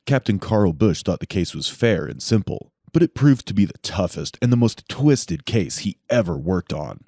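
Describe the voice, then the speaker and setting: A man giving scripted, measured true-crime narration on a close studio mic. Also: fairly low pitch, warm tone. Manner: measured, dramatic storytelling. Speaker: documentary narrator.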